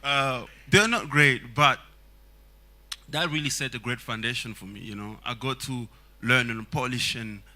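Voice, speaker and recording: A man's voice: mid-range voice, unhurried, bright, forceful tone. A man from Congo, a non-native speaker of English, talking earnestly and reflectively as a songwriter on a panel, with many fillers. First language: Swahili. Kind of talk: casual panel talk.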